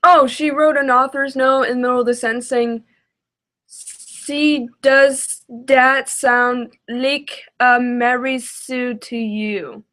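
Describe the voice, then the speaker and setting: A woman comments casually as she reacts to something she is listening to. Her voice is a little high and her pace is slow. She sounds hesitant and exasperated, thinking aloud as she goes. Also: steady tone, fairly bright tone. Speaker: reaction video host.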